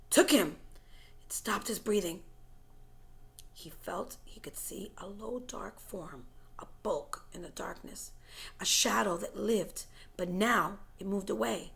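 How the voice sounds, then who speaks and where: Expressive woman reading a story with creaky edges. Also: mid-range voice, unhurried. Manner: suspenseful and expressive, dropping to whispers and rising to loud, forceful phrases. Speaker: teacher reading a book chapter aloud. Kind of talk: dramatic audiobook-style story reading.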